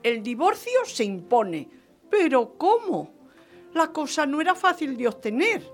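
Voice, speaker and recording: Exuberant, high-voiced scheming marquise. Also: female voice, sing-song, unhurried, soft background music. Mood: conspiratorial, indignant. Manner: theatrical, gushing, repeating phrases for emphasis. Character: exuberant, scheming, vengeful French marquise, gleeful about her marriage. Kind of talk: radio theatre acting of a period story.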